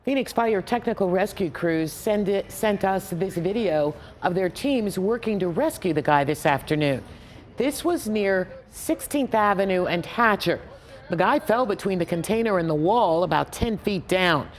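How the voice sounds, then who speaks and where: A woman's voice: medium pitch, lively intonation, normal speed, a little hiss or hum. An American woman anchoring a TV newscast in a scripted news delivery.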